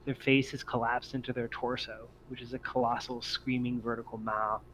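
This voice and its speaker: A man acting as game master, improvising tabletop game narration in halting, piecemeal descriptions. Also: mid-range voice, flat delivery, crowd noise. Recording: dull lo-fi audio, noisy background.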